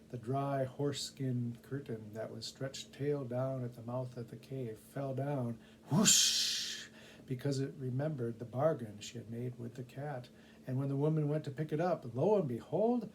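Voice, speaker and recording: A man's voice: mid-range voice, slight background noise, poor audio. A man with a casual, conversational manner, chatting and narrating a storybook as a volunteer reader.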